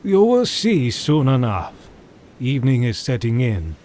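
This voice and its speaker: An actor playing a grave, learned professor who hunts monsters, speaking formally and with command in a period audio drama. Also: male voice, mid-range voice, wildly swooping pitch, unhurried, a little mellow. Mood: grave, knowing. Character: grave, learned male professor and vampire hunter.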